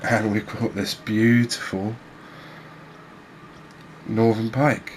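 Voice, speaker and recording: A man giving gentle, unhurried ASMR commentary over a cozy fishing game, with hesitant, understated phrasing. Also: fairly low pitch, flat delivery, a little hiss or hum. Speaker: host, video game streamer.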